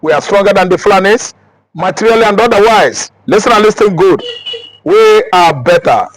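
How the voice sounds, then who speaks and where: Nigerian guy, pretty high voice, keeps repeating himself and hammering his point. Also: lively intonation, normal speed, rough recording. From Anambra State, Nigeria. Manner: emphatic, repetitive, declaiming. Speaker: interviewee. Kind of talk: heated street interview talk.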